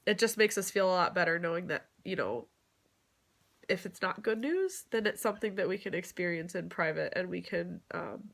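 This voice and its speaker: A woman's voice: lowish voice, animated, moderate pace, creaky, a bit bright. A young woman with a cold, sounding stuffed up, chatting casually to her vlog viewers with lots of fillers. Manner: chatty, many fillers, occasional laughs. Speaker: vlogger.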